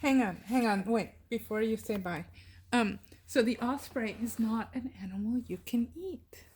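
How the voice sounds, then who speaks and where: A woman's voice: a little high, normal speed, a little mellow. A woman in casual discussion, teacherly, prompting with questions and corrections.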